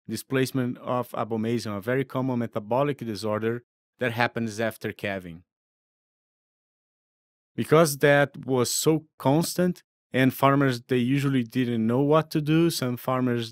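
A man in his thirties from southern Brazil with a Brazilian accent, giving a steady, explanatory veterinary lecture. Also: medium pitch, dry recording, studio quality. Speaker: veterinary professor. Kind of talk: recorded lecture narration.

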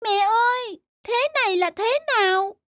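A woman voicing a devoted young cuckoo chick in an animated fable, earnest and emotional. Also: very high voice, a little slow. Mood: frightened. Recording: loud music under the voice.

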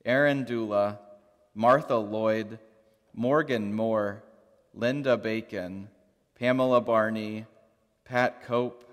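A man leading a congregation through the creed and prayers with measured, liturgical recitation. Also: on the low side, fairly even intonation. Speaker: worship leader. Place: large church sanctuary. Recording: long church reverb.

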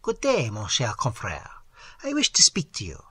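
A reader voicing a vain, self-important French artist man with a French accent, lecturing in a husky voice. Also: mid-range voice, huge pitch swings, moderate pace, a bit bright, no room sound, pitched below the performer's own voice, full character voice. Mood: critiquing.

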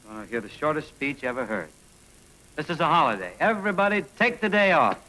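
An actor playing an easygoing, joking male priest in a 1940s film, with a lively, relaxed delivery. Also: fairly high pitch, fast, poor audio. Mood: jovial. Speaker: new parish priest. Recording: old film soundtrack with faint hiss.

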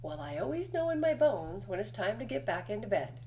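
Lady reading as lazy teasing mole girl, kids book, expressive pitch, noisy audio. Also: fairly quick, lightly acted. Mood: smug, lazy. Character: teasing, lazy young female mole in bed. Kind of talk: children's picture book read-aloud.

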